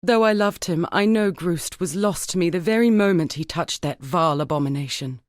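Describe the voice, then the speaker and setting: A woman playing an earnest, formal woman scholar in a dark fantasy video game, speaking in a measured way. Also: fairly low pitch, no echo, clean studio sound, close to an everyday voice. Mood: grieving, grateful. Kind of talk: scripted dramatic game dialogue.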